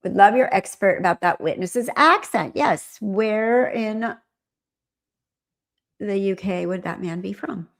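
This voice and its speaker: Chatty American woman with gravelly fry. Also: mid-range voice, slow. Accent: General American. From United States. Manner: chatty, animated, rambling. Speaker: livestream host. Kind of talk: casual livestream chat.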